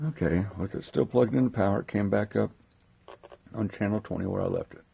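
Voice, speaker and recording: Low, gravelly, mellow man demonstrating gear. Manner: unhurried, thinking aloud while handling gear. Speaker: host of a gear unboxing and testing video. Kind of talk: casual hands-on demonstration.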